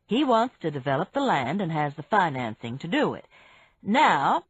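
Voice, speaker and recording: Lower-voiced, unhurried man, patient explainer, read by a woman, lo-fi. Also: sing-song, breathy edge, no echo, exaggerated voice. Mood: explaining. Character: calm middle-aged man explaining business. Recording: dull, dry lo-fi recording.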